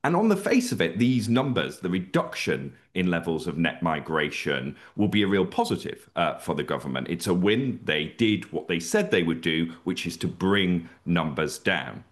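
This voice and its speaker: A man working as a pollster, giving measured, analytical commentary on public opinion. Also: deep voice, very animated.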